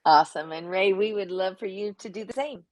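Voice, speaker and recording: A woman's voice: mid-range voice, brisk, a little mellow, no echo, studio quality. A woman from the United States hosting an unscripted panel discussion. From United States, New Jersey. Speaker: host, student athlete advocate.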